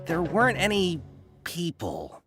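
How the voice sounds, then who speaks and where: A hesitant, salesy caravan merchant. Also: male voice, sits high, huge pitch swings, unhurried, full character voice. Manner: hesitant, full of uhs, salesy. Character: fawning merchant caravan leader. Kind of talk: game voice acting. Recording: game audio over faint music.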